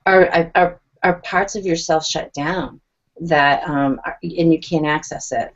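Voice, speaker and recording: A woman's voice: sits low, a little slow. A woman speaking earnestly on a video call panel, often restarting her sentences as she searches for words. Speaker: guest speaker on EFT tapping. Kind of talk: casual online panel chat. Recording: dull lo-fi video call audio.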